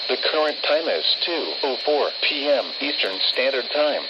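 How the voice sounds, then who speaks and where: A man's voice: a little high, lively intonation, normal speed, vocal fry. A synthetic man's voice reading a scripted weather bulletin over the radio in a formal, impersonal, segmented delivery. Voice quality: synthetic text-to-speech voice. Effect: radio broadcast. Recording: dull, very noisy weather radio reception.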